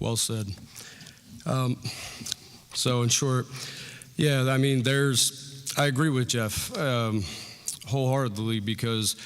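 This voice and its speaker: A man's voice: medium pitch, fairly bright tone, smooth. A young man running for school board, giving plainspoken, unpolished debate answers with many fillers. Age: young adult. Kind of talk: town hall debate statement. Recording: reverberant hall.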